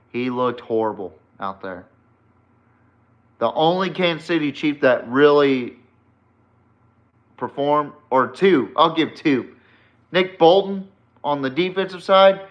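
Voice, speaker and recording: A man's voice: mid-range voice, fairly bright tone. Blunt male sports podcaster, dull lo-fi sound. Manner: emphatic, blunt, swears freely. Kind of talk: casual, opinionated solo football commentary.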